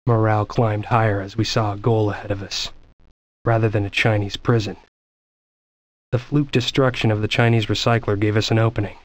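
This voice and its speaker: A man performs a grave American soldier recounting a campaign in a game cutscene. His voice is low and very even in pitch. The delivery is solemn, measured and restrained. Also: no room sound, lightly acted, rough recording. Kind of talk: scripted dramatic cutscene narration.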